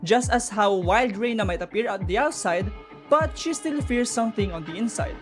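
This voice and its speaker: A woman's voice: medium pitch, animated, moderate pace. A woman giving an informal explainer commentary, chatty and speculative, talking straight to viewers. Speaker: video narrator explaining game lore. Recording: music under the voice. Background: background music.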